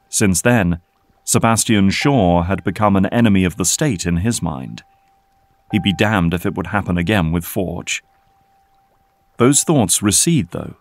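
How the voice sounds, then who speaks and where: A man giving scripted, dramatic narration as the narrator of an audio drama. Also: deep voice.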